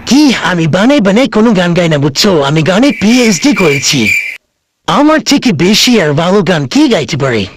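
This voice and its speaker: A man's voice: high voice, very animated, moderate pace. A man voicing a lovesick, boastful grandfather in a comedy cartoon, wheedling and melodramatic as he woos his wife. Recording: clear background noise.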